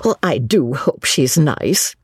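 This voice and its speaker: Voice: mid-range voice, very animated, slow pace, breathy edge, no echo, studio quality, exaggerated voice. A reader voicing an older spinster chaperone in an audiobook story, prim, fussy and earnestly admiring. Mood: eager.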